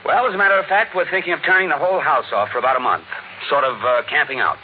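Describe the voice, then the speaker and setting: A man's voice: on the high side, rapid-fire, a little hiss or hum, lightly acted. An actor playing a worried, stern middle-aged father in a 1950s radio drama. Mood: wary, reasoning. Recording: narrow, roomy vintage radio recording.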